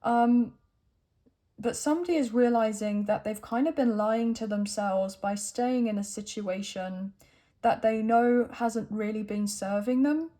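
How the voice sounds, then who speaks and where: A woman with a croaky throat giving a chatty tarot reading to camera, thinking aloud as she draws cards. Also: a little high, unhurried, dark tone. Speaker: tarot reader.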